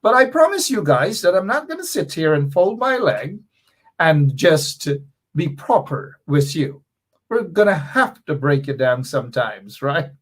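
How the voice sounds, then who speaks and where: A Guyanese-accented man in middle age runs a political talk show, speaking in a high register for a man. His pitch swings widely as he hammers home his points and says them again for emphasis. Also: measured pace, breathy edge. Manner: animated, emphatic, repeats key points. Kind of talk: political commentary monologue.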